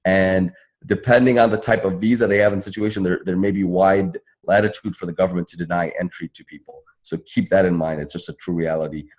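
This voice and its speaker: Male activist panelist, low and husky, rapid, emphatic, unscripted advocacy delivery. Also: steady tone, darkish tone. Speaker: panelist, civil rights attorney and activist. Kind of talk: spontaneous panel talk, advocacy.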